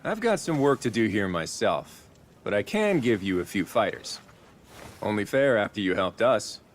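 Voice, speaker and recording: Bird-warrior chief, lowish voice, lively intonation, wry, assured, honorable, video game cutscene. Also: male voice, normal speed, slight background noise. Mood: earnest, resolute. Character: confident male bird-folk warrior leader, wry, honorable.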